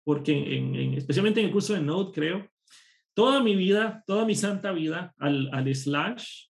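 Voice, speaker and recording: A man from Costa Rica, a programmer and course instructor, telling lively personal stories as a guest in a casual interview. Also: sits high, measured pace, creaky. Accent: none, a neutral Spanish. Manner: lively anecdotal storytelling.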